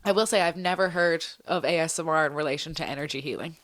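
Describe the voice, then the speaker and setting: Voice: mid-range voice, quick pace, fairly bright tone. Host giving upbeat short affirmations. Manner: encouraging, frequent short affirmations. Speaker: podcast host. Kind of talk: casual interview chat.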